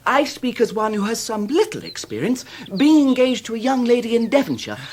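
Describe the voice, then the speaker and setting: A genial young gentleman in a radio drama. He is very animated in pitch, earnest and awkwardly polite. Clear noise sits behind the voice. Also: a little fast. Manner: polite, eager, slightly awkward. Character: amiable, earnest, deferential young gentleman friend.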